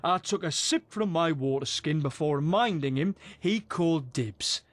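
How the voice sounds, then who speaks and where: An actor playing a boisterous, rough British adventurer in a fantasy game, telling tales in a salty, wry way. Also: male voice, a little high, animated, normal speed, close to an everyday voice. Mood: amused, boastful. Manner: salty, foul-mouthed, wry storyteller. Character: boisterous rough male adventurer spinning a monster tale. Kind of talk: in-character storytelling in a fantasy game.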